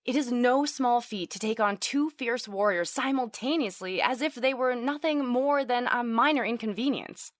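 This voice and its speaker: A woman's voice: on the high side, normal speed, few pauses, no echo, close to an everyday voice. A woman playing a polite, chatty elven sorceress and scholar in a fantasy audio drama. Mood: formal. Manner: chatty, courteous, formal wording.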